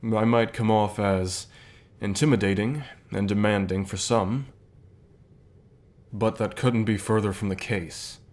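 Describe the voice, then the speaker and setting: A man playing a calm, reassuring company boss with gentle authority, speaking softly in measured phrases for an ASMR roleplay. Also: lowish voice. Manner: measured, soft-spoken, deliberate pauses. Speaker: voice actor in an audio roleplay.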